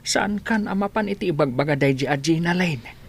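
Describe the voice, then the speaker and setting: A woman playing a gentle, concerned old father in a scripted audio drama. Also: on the low side, a little fast, gravelly, breathy edge. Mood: worried. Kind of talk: scripted radio-style drama.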